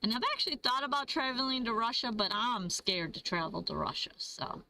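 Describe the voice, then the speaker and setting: Young woman hosting a live trivia chat; fairly high, bright voice, unhurried delivery, chatty and quick to laugh while reading comments. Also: expressive, poor audio. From Michigan, USA. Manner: chatty, laughs often, reads viewer comments aloud. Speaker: livestream host. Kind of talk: casual trivia livestream chat.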